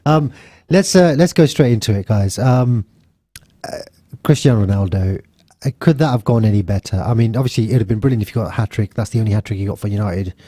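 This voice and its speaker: A man's voice: lowish voice, fast, warm tone, no echo. A man hosting a casual radio sports chat, upbeat and teasing his co-hosts. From England. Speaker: radio show host. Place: radio studio.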